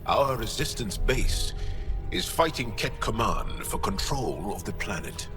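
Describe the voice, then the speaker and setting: Deep, slow alien resistance fighter. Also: male voice, big pitch swings. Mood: grave. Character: grave alien resistance fighter, adult male, measured and proud. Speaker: video game voice actor. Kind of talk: dramatic game dialogue. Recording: music behind the dialogue.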